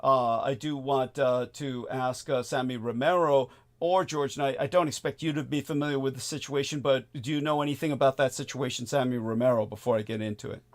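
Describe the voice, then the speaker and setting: Guy hosting a live political call-in, medium pitch, wordy, keeps repeating himself. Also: steady tone, fairly quick, hardly any pauses. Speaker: talk show host. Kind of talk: live political talk show with guests.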